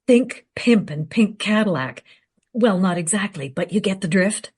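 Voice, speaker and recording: A woman playing a brisk female documentary interviewer in a radio play, assertive and smoothly flattering. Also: medium pitch. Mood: knowing.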